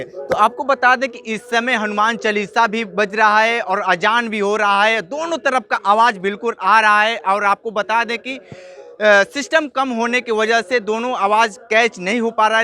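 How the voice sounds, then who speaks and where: A man reporting on location, explaining in a halting, repetitive way and putting questions to locals. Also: sits high, sharp tone. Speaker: field news reporter. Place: outdoors in a neighbourhood with loudspeaker playing.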